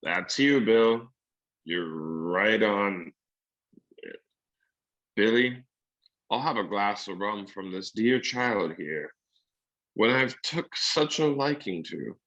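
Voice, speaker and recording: Man playing a sly, slow-spoken old pirate. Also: on the low side, animated, fairly bright tone, lo-fi sound, full character voice. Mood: falsely friendly, menacing. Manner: rustic seafaring talk, coaxing endearments. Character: sly, wheedling, menacing old male pirate.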